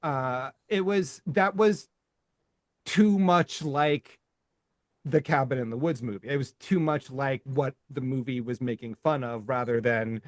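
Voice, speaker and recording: A man's voice: medium pitch, unhurried, no echo. A middle-aged man co-hosting a movie podcast, rambling casually with restarts and swearing. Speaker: podcast co-host.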